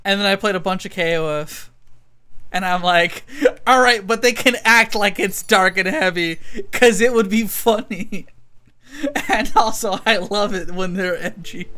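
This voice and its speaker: A man's voice: high voice, a little slow, sharp tone, clean tone. A man chatting casually as he streams a game, informal and rambling, with loose swearing.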